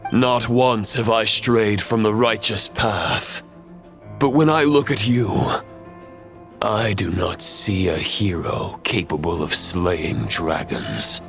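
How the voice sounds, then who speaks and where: A man's voice: fairly low pitch, slow, fairly bright tone. An actor playing a proud, grave fallen knight in a video game, solemn, theatrical and condescending as he addresses his foe. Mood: proud, disdainful. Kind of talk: dramatic video game voice acting. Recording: music behind the voice. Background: dramatic game music.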